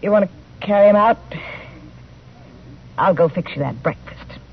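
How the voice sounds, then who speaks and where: An actress playing a hardened, matter-of-fact frontier widow in a 1950s radio western, plain and unflappable in manner. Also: female voice, medium pitch, measured pace, vocal fry, breathy edge. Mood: weary, unflappable. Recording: tinny, noisy vintage radio broadcast.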